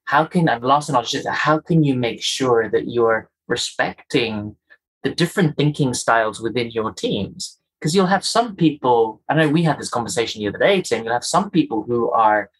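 A man's voice: mid-range voice, expressive, moderate pace, fairly bright tone, husky, rough recording. A man from Kenya, a guest in an interview, explaining his ideas earnestly, often in lists of rhetorical questions. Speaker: guest, culture and inclusion executive.

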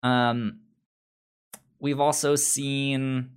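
A man's voice: medium pitch, level tone, drawn-out words, smooth. A man co-hosting a casual podcast discussion, stammering and repeating syllables as he works through his thoughts. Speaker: pop culture podcast co-host.

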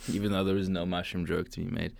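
Deep-voiced joking male podcast host. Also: little pitch movement, normal speed, creaky, a little mellow. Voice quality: joking, loose and profane. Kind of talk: casual podcast chat.

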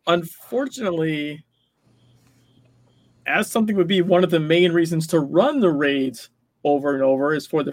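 Man chatting about games, higher-pitched, dry sound. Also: dry recording. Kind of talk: casual gaming discussion.

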